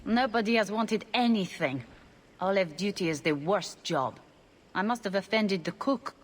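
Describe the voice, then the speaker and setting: A woman's voice: mid-range voice, animated, normal speed, natural voice. A woman playing a put-upon young servant in a fantasy game, weary, dry and unenthusiastic. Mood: glum, hesitant. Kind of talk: fantasy game dialogue acting.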